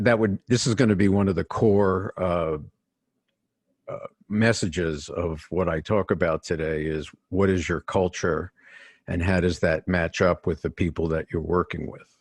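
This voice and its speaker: An older man from New York City, a guest executive coach, telling stories in a measured interview manner and often restarting his phrases. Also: low voice, no room sound. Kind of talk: conversational interview storytelling.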